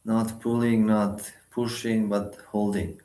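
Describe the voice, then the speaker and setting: A man leading a guided meditation, giving instructions in short, sparse phrases with long pauses between them. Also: fairly low pitch, level tone, dark tone, echoey room.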